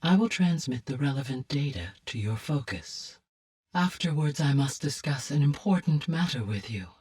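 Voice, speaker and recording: A woman voicing a calm, courteous, motherly artificial intelligence in a video game, speaking softly with measured, precise, formal diction. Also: very deep voice, husky, distant mic, exaggerated voice. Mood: gentle, welcoming. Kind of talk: scripted video game voice acting.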